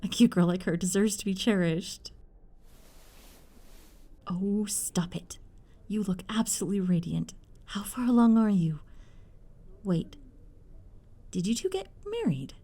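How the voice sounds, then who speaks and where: A woman acts out a doting middle-aged mom in an audio drama. Her voice is mellow but swoops widely, effusive and fussing, always fiercely protective of her boy. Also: mid-range voice, expressive, measured pace, pauses often. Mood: delighted. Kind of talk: audio roleplay voice acting.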